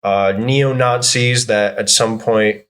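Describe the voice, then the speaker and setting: A man's voice: lowish voice, takes it slowly. A young man born in Argentina with Spanish as his first language, talking loosely as a podcast guest, full of fillers and swearing. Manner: relaxed, rambling, heavy filler and swearing. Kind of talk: casual interview chat.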